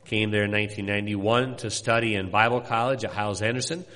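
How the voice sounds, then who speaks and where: Middle-aged preacher guy from indiana, lowish voice, lots of uhs, rambly and folksy, big echoey church hall. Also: fairly even intonation, fairly bright tone. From Indiana, USA. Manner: folksy, rambling, many "uh" pauses. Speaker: missionary pastor. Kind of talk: sermon introduction to a congregation.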